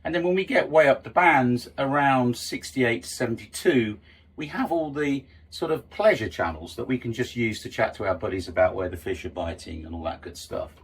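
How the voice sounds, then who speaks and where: A man's voice: mid-range voice, breathy edge. A middle-aged man giving an informal, explanatory lesson as a sailing instructor, conversational and stressing key terms.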